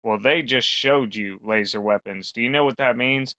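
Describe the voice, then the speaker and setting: A man's voice: medium pitch, normal speed, sharp tone, no echo. A man co-hosting a podcast, rambling through a casual, crude chat full of fillers and swearing. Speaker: podcast co-host. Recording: dull lo-fi sound.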